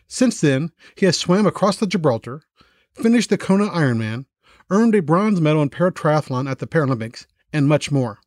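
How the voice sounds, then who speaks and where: A man's voice: fairly high pitch, dry recording, clean studio sound. American man hosting a podcast. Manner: hesitant, many fillers and restarts in questions. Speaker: podcast host. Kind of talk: scripted intro, then casual interview.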